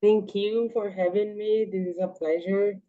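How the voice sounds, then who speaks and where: A young woman from Paraguay whose first language is Portuguese, chatting casually as a podcast guest with a relaxed, talkative manner. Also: medium pitch, unhurried. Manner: relaxed, chatty, with frequent "like" and laughs. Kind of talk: casual interview chat. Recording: slightly dull, lo-fi audio.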